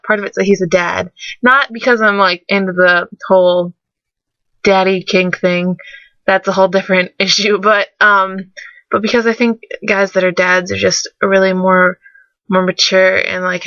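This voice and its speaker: A young woman, sick and rougher-voiced than usual, rambling through fan questions in a casual webcam vlog, self-deprecating and full of fillers. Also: lowish voice, bright, forceful tone, dry recording. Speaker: vlogger. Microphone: webcam mic. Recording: dull lo-fi webcam audio.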